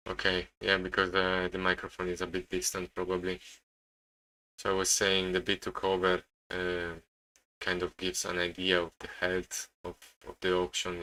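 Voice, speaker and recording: A low-voiced man with a strong, bright edge to his sound and an Italian accent. He talks casually as a live streamer, in a very flat melody, stopping and repeating words as he searches for the next one. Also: poor audio. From Italy. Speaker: day trading live streamer. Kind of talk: casual live market commentary.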